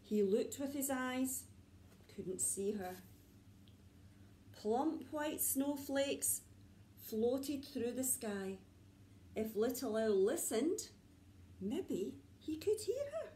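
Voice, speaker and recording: A woman tells a children's story in a high, very lively voice. She goes slowly, with dramatic pauses and suspenseful repetition, in a room with noticeable echo. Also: slight background noise. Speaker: nursery teacher reading a story. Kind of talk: expressive storytelling for young children. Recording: echoey room.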